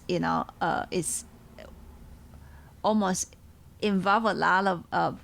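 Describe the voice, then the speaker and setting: A woman with a Taiwanese accent hosts a relaxed, reflective chat. Her voice sits on the low side with noticeable creak. She speaks haltingly, feeling for her words as she goes. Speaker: podcast host and tea seller.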